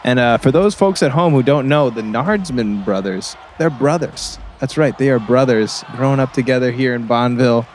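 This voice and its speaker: Actor as rambling folksy sports radio sidekick. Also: male voice, mid-range voice, moderate pace, few pauses, darkish tone, natural voice. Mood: folksy, pleased. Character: folksy, easygoing male small-town sports radio commentator. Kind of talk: chatty colour commentary in audio comedy. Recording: faint gym noise behind. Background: faint gym noise.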